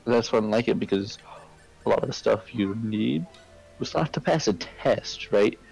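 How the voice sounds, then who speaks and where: Low, creaky-voiced man chatting casually. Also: animated, darkish tone, poor audio. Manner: rambling, halting explanations. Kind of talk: casual chat. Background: faint music.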